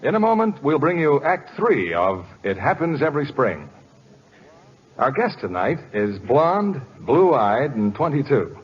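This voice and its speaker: A man's voice: medium pitch, sing-song, unhurried. An American man hosting and announcing in scripted 1940s radio style. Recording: dull old radio broadcast, faint music.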